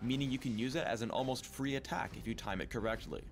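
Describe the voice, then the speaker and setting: A man reading scripted game tutorial narration in a measured, explanatory manner. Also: medium pitch, creaky. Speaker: champion spotlight narrator.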